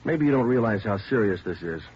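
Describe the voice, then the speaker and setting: An actor playing a terse police detective interrogating suspects in a 1950s radio drama, clipped and matter-of-fact. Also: male voice, on the low side, expressive, fast, close to an everyday voice. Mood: firm. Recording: dull old radio broadcast with faint hiss.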